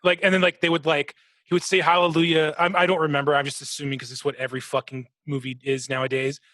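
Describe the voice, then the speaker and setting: Opinionated, sweary man with a higher-pitched voice. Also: flat delivery, quick pace, pushed and bright, no room sound. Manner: opinionated, emphatic, profane. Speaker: podcast co-host. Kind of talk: casual chat.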